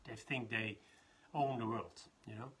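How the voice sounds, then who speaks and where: Dutch trainer, bright forward voice, faint background noise, chatty live session. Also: male voice, fairly low pitch, fairly even intonation, a little slow. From Netherlands. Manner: rambling, many restarts and laughs. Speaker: leadership trainer. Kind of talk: casual live talk.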